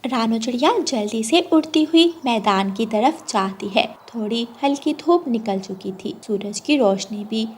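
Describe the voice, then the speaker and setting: A woman's voice: fairly high pitch, expressive, unhurried, few pauses, a little hiss or hum. A woman reading scripted narration for a children's cartoon moral story.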